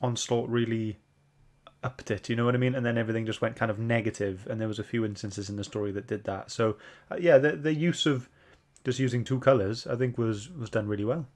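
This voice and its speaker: Mid-pitched man hosting a casual chat. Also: brisk, a little mellow. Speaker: podcast host. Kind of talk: casual book club discussion.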